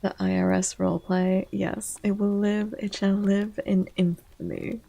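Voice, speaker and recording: A woman livestream host chatting gently in a soft, breathy ASMR voice that often slips into whispers, close to the microphone. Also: medium pitch, flat delivery. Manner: gentle, unhurried greeting of viewers.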